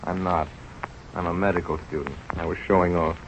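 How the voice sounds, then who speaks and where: An actor playing an earnest, intense young medical student with a brisk, excitable manner in an old-time radio drama. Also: male voice, sits low, a little hiss or hum, lightly acted. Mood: sheepish. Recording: muffled vintage radio broadcast recording. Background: music.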